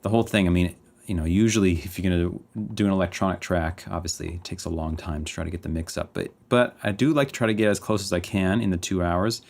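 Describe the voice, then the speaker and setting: A man's voice: low-pitched, steady tone, quick pace, vocal fry, hardly any pauses, a little mellow. A man from British Columbia with a light West Coast Canadian accent, a music producer casually thinking aloud and muttering self-critical notes while mixing a track. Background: faint music playback.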